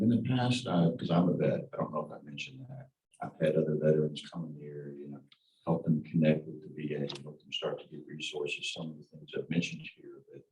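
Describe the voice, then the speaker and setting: A man with a very deep, dark voice asks questions in a rambling, hesitant way. He is heard from across an echoey meeting room. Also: far from the mic. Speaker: veteran attendee.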